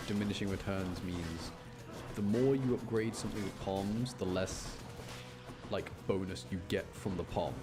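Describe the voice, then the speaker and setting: A man giving casual, chatty live commentary as a game streamer, often explaining things and interrupting himself. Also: fairly low pitch. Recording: music behind the voice. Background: music.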